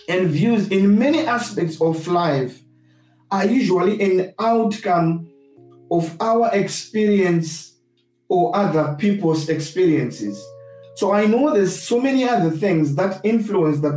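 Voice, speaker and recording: Slow, high-voiced Zimbabwean man teaching. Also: a little mellow. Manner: deliberate, repetitive, emphatic restating of points. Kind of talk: reflective teaching talk, sermon-like. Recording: roomy echo, distant mic, music behind.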